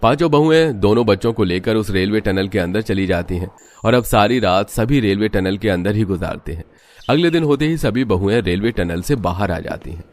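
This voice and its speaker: Casting: male narrator for cartoon tales, lowish pitch, dramatic scripted delivery. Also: expressive, brisk, darkish tone.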